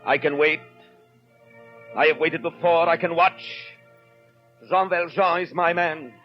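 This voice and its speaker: An actor playing an obsessive, vengeful police inspector, speaking formally and deliberately in a 1930s radio monologue. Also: male voice, on the high side, steady tone, close to an everyday voice. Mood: grimly determined. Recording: dull 1930s radio recording with music.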